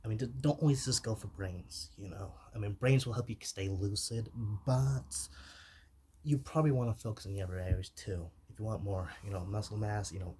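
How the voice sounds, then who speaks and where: Man playing a shy, low-voiced, soft-spoken zombie. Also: slight background noise, natural voice. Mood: friendly, rambling. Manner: hesitant, halting, apologetic, drifting into whispers. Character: shy, awkward, soft-hearted young male zombie. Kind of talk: ASMR roleplay, softly spoken to the listener.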